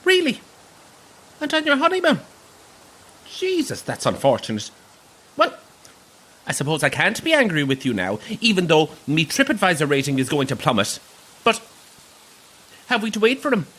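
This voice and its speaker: An actor with an Irish accent playing a brash, sarcastic coach tour guide in an audio drama, theatrical and teasing. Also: male voice, fairly high pitch, wildly swooping pitch, normal speed, long pauses, a bit bright, heavily acted. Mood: exasperated.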